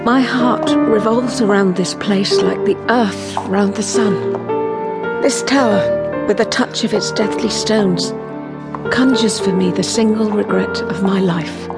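A woman's voice: mid-range voice, steady tone, unhurried, creaky, loud music behind the voice. An actress playing a wistful, headstrong lady of the manor in a radio period drama, speaking in formal, literary phrases. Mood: wistful, solemn.

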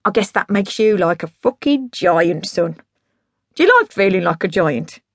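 A woman's voice: mid-range voice, expressive, normal speed, dry recording, studio quality. A woman voicing a drunk, boastful, sweary middle-aged father with big musical dreams, in a bombastic, declamatory way, in an audiobook story. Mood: boastful, pleading.

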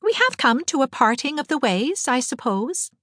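Storybook eighteen-year-old girl voiced by a female reader: bright-spirited, whimsical, high-pitched, very expressive swings. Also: moderate pace, no room sound, clean studio sound. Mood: thoughtful. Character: cheerful, fanciful eighteen-year-old girl, playful and talkative. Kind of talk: audiobook character dialogue.

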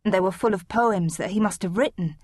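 Earnest, puzzled schoolgirl played by a woman for radio-style drama; slightly high, breathy voice, dry close sound. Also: brisk, a little mellow, no echo, natural voice. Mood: earnest, subdued. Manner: earnest, questioning. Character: earnest, puzzled young schoolgirl, great-granddaughter. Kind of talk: audio drama acting.

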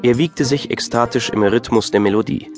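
A man's voice: medium pitch, normal speed. A man narrating an audio drama from a script in a dryly wry storytelling manner. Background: music.

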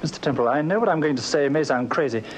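An actor playing an earnest, nervous young suitor in a British radio drama, hesitant and deferential, with Received Pronunciation. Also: male voice, on the high side, expressive, fast, a little hiss or hum, close to an everyday voice. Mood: earnest, aggrieved. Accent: English Received Pronunciation. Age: young adult.

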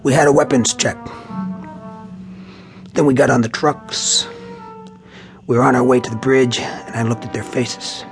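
An actor playing a troubled, weary army officer in a radio war drama, terse and brooding. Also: male voice, medium pitch, fairly even intonation, a little slow, gravelly, pauses often, music in the background. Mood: haunted, troubled.

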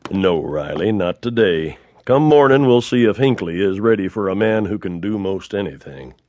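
An actor playing a fair-minded, middle-aged town marshal in an audio western, with an American accent and a measured, dryly folksy way of talking. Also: male voice, sits low, expressive, darkish tone, lo-fi sound. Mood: wry, relenting. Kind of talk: audio western drama acting.

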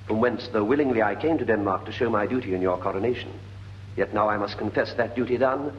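Actor as a deferential young British nobleman, lowish voice. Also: quick pace, close to an everyday voice. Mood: respectful, earnest. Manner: formal, courteous. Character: deferential young nobleman petitioning his king. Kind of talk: classical Shakespearean radio acting. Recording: muffled old radio recording with hum.

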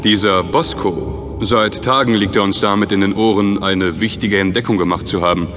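A man's voice: fairly low pitch, fairly even intonation, moderate pace, a bit bright, faint music behind, close to an everyday voice. A man playing a stern, suspicious comrade in a science fiction audio drama, serious and exasperated in manner. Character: stern, suspicious male security-minded comrade. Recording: long reverberant hall sound.

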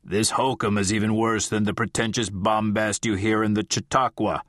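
A man doing a gravelly, belligerent old spirit. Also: on the low side, level tone, moderate pace, a bit bright, no room sound, studio quality, natural voice. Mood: scornful. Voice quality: gravelly, croaking. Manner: belligerent, scornful. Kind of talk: audiobook character voice.

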